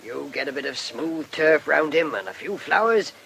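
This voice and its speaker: A man's voice: on the high side, lively intonation, normal speed, gravelly, fairly bright tone, slight background noise. An actor playing a chatty, deferential working man with folksy rustic phrasing in a radio drama. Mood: chatty, satisfied.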